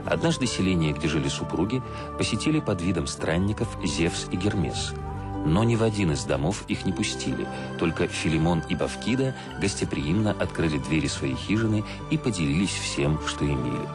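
An actor playing a solemn narrator who reads a dictionary of myths for a radio drama, formal and measured. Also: male voice, deep voice, expressive. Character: solemn male narrator reading a mythological dictionary entry. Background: music.

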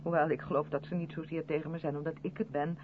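A woman's voice: low voice, little pitch movement, fast, natural voice. An actress playing a strict, earnest, insistent woman in a radio play, with a probing and emphatic way of speaking. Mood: troubled, defensive. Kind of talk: 1970s Dutch radio play acting. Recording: noisy, tinny band-limited old radio recording.

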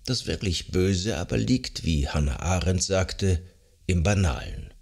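Low-pitched man narrating a nonfiction audiobook. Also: expressive, measured pace, creaky, a little mellow, breathy edge. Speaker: audiobook narrator of a psychology self-help book.

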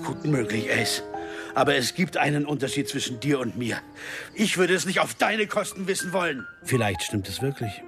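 An actor playing a brooding, driven jazz pianist turned amateur sleuth in a German radio drama. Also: male voice, medium pitch, expressive, normal speed, over music, close to an everyday voice. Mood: bitter, resigned. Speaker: lead actor and narrator. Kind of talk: German radio drama acting with first-person narration.

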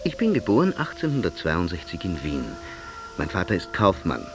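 Low male narrator, super animated, wry storytelling, audiobook of literary sketches. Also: moderate pace. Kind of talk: expressive literary reading of autobiographical sketches. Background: music behind the voice.

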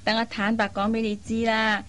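A woman playing a kind, persuasive young elder sister in a Cantonese radio drama, coaxing and teasing in drawn-out phrases. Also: a little high, flat delivery, a bit bright, slight background noise, natural voice. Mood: playful, fond.

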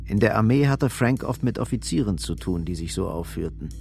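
A man's voice: on the low side, lively intonation, brisk, vocal fry, darkish tone, slight background noise. A man reading scripted dramatic narration as the narrator of a radio play. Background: music.

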